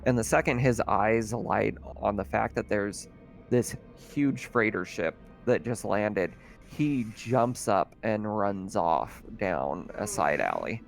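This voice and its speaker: A man's voice: lowish voice, lively intonation, takes it slowly, vocal fry. A man narrating a tabletop roleplaying game as game master, in a casual, conversational, improvised way. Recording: music under the voice.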